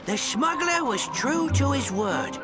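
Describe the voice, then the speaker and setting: A high, sly pirate robot. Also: male voice, very animated, slow pace, heavily acted. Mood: jaunty storytelling. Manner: jaunty, mocking, chummy pirate talk. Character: sly, cheerful robot pirate storyteller, gleeful backstabber. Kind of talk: theatrical video game narration and dialogue. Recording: loud music behind.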